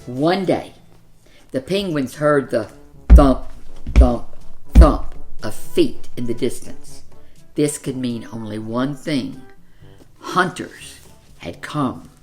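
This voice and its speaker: A woman reading a children's picture book aloud as a storyteller, with expressive, deliberate, dramatic phrasing. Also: extremely low pitch, soft background music.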